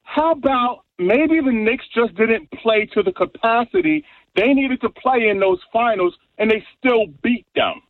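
A high-voiced man with a New York accent calls in to a radio show and brags emphatically. He comes through a tinny, dry phone line. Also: lively intonation, a little slow, no room sound. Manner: boastful, emphatic trash talk. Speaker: radio caller. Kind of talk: call-in sports rant.

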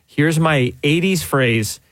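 A man's voice: a little high, slow pace, no room sound, studio quality. A man co-hosting a morning radio show, in jokey, playful banter with his co-host. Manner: jokey, animated, plays reversed audio clips.

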